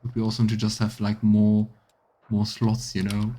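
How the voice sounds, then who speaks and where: A man's voice: on the low side, completely flat delivery, normal speed, mellow, a little hiss or hum. A man giving casual let's play commentary on a video game, musing aloud and trailing off as he goes. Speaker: streamer playing a video game.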